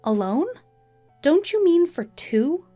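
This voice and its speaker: A woman's voice: high-pitched, big pitch swings, slow pace, dark tone, dry recording, soft background music, pitched above the performer's own voice, full character voice. A woman voicing a betrayed, defiant wife, scornful, emphatic and accusing, in a narrated story. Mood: cold, scornful. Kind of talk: acted dialogue in a narrated story.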